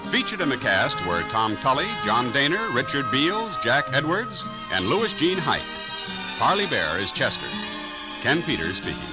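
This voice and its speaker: A male old-time radio announcer reading an ad and the credits. His voice sits at a middle pitch but swoops very widely, hearty and emphatic. Loud music plays behind him. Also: very animated, normal speed, rough recording.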